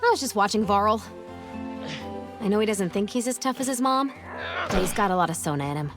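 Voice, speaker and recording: An actress playing an earnest, questioning young heroine in an animated video game, wistful and a little wry. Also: female voice, medium pitch, fairly quick, natural voice. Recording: music underneath the voice. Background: music.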